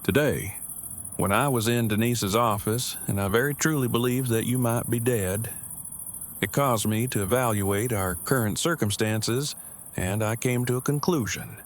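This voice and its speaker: A man's voice: medium pitch, moderate pace, slight background noise, natural voice. A man acting an awkward, earnest survivor in an audio drama, speaking in formal, wordy, stilted phrases. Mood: earnest, nervous. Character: awkward, earnest, verbose male survivor in a zombie apocalypse.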